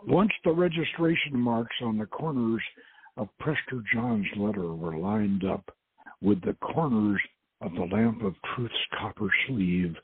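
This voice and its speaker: A man's voice: on the low side, a little slow, husky. A man hosting and reading aloud from an adventure story, stumbling and repeating words now and then. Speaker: host and author reading his novel. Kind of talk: dramatic reading of an adventure novel. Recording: muffled phone-like lo-fi audio.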